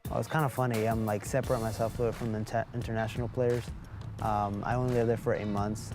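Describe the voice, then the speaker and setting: A man's voice: lowish voice, little pitch movement, quick pace. A young man from Mexico, a college soccer player, giving hesitant, filler-heavy interview answers. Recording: music bed under interview.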